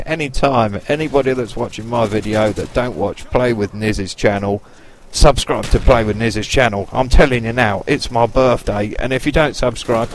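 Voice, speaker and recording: Chatty Lancashire man in his forties. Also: mid-range voice. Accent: Lancashire English. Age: 40s. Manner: chatty, rambling and cheeky, with mild swearing. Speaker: gaming YouTuber. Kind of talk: casual gameplay commentary.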